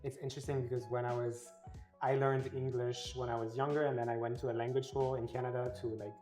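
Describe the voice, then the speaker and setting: A woman's voice: rumbling low, flat delivery, quick pace, dark tone, faint music behind. A young woman hosting a travel vlog, talking casually and hesitantly with restarts. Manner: friendly, hesitant, lots of "like" and restarts. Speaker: vlogger host.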